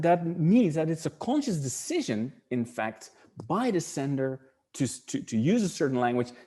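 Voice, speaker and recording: A man's voice: on the high side, dark tone. Dutch-accented man giving a webinar lecture. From Netherlands. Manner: thinking aloud with many restarts and repeated words. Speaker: webinar presenter.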